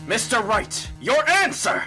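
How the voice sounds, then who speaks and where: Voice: medium pitch, huge pitch swings, a little slow, bright, forceful tone, faint music behind, heavily acted. An actor playing a stern, easily startled elderly male judge in a fan-dubbed courtroom drama, speaking in a formal, pompous way. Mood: demanding. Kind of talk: fan dub courtroom game acting.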